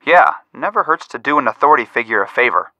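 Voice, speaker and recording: A man's voice: mid-range voice, animated, fast talker, pushed and bright, no room sound, muffled recording. A young man with an American accent voicing an upbeat amateur detective who gives wry, helpful hints in a video game. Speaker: detective helper giving hints. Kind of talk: scripted video game voice acting.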